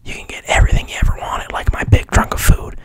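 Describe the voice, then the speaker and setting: A man voicing a vengeful, grumpy male bear in a hushed whisper, sneering and foul-mouthed. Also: quick pace, lightly acted. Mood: smug.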